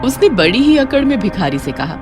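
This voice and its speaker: A woman's voice: a little high, big pitch swings, a little fast. A woman narrating a scripted children's moral story. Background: music.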